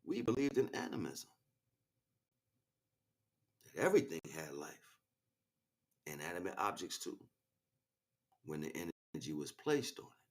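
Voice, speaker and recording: A man delivering an impassioned, improvised spiritual monologue, preachy and grandiose, swinging between hushed asides and loud, emphatic declarations. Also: deep voice, very animated, measured pace, long pauses. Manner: preachy, grandiose, repeats phrases for weight. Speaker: spiritual speaker, streamer.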